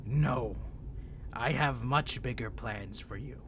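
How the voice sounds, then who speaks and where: A man's voice: mid-range voice, takes it slowly, heavy background noise, close to an everyday voice. A man playing an insane, seductive vampire villain in a roleplay audio drama, speaking straight to the listener in a theatrical, coaxing, condescending way. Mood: sinister, taunting. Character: insane male vampire villain, seductive, menacing, toying with prey.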